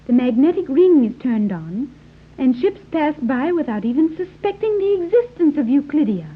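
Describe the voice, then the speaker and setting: An actress playing an earnest young island guide in a 1930s radio serial, speaking in precise, formal diction. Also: female voice, high-pitched, sing-song, normal speed. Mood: earnest explaining. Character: earnest young island woman guiding captive visitors. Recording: tinny, noisy old 1930s radio recording.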